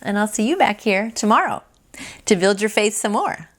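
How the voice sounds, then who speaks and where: A woman's voice: medium pitch, animated, normal speed. A woman hosting a daily devotional, reading aloud and adding casual, earnest, encouraging asides. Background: music.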